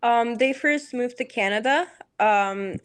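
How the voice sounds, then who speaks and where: A woman's voice: fairly high pitch, a little slow, smooth. Young woman on a video call. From United States. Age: young adult. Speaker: guest. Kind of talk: casual interview over video call.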